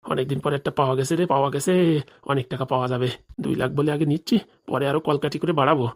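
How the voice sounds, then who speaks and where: A woman's voice: very deep voice, fairly even intonation, racing. A woman voicing a greedy, boastful, crooked male village doctor in a comic cartoon drama, with a brash colloquial manner. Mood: secretly gloating.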